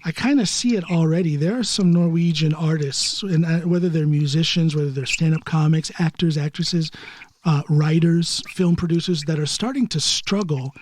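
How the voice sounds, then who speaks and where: Higher-voiced male podcast host. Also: darkish tone, slight background noise. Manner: agreeable, many quick yeahs and laughs. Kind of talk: casual interview chat.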